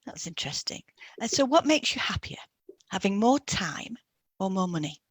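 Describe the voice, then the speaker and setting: A woman's voice: medium pitch, sing-song, normal speed, breathy edge, no echo. A woman reading a scripted informational piece as a podcast host, in an instructive, explanatory way.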